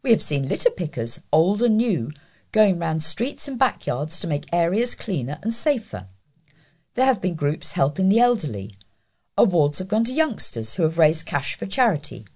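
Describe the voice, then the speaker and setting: A woman reading local news and letters aloud as a volunteer, in a deliberate, scripted delivery. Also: on the low side, very animated, mellow. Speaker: volunteer newspaper reader.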